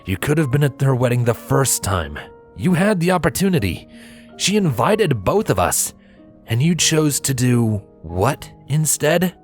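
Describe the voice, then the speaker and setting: Weary male pony, acted by a man, gentle yet firm, earnest, lively intonation, storybook character, music behind. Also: mid-range voice, a little slow, pitched above the performer's own voice. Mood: reproachful. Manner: soft-spoken, sighing, earnest.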